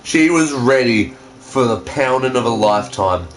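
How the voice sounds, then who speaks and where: An animated co-reader whose pitch jumps around a lot. The delivery is casual, comedic banter, sweary and quick to interject. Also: poor audio.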